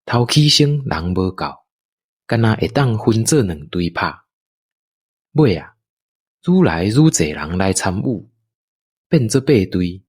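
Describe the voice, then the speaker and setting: Male narrator, Taiwanese accent. Very animated intonation, leisurely pace, storytelling for audio drama. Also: lowish voice, husky, no echo, clean studio sound. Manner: unhurried, expressive storytelling delivery.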